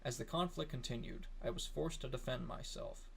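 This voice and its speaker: A man narrating a written letter aloud in a solemn, measured, deliberate way. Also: medium pitch, creaky, fairly bright tone, a little hiss or hum. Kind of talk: solemn scripted reading.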